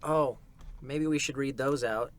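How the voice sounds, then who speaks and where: A man's voice: mid-range voice, unhurried. A man hosting a livestream in a small recording room, chatting informally and reading out viewer messages with effusive thanks. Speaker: livestream host and voice performer. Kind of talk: casual chat, reading out viewer donations.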